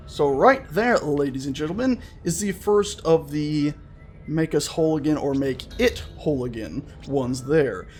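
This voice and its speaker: A man's voice: a little high, expressive, measured pace, a little mellow, slight background noise. A man hosting a gaming video with casual, chatty, playful and enthusiastic let's-play commentary. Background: game music.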